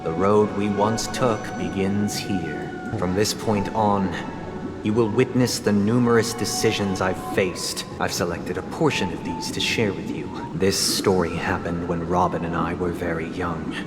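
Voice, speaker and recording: Solemn, composed game villain preaching order: low voice, formal phrasing, deep reverb, loud score. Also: male voice, fairly even intonation, unhurried. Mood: calm, controlled. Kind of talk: dramatic video game voice acting. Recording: long reverb, loud game music behind.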